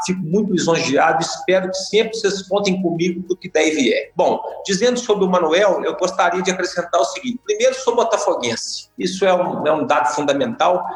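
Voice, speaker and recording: A middle-aged man from Salvador, a lawyer talking expansively as a podcast guest, with a jokey, talkative manner. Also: a little high. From Salvador, Brazil. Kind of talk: casual interview talk. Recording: roomy echo.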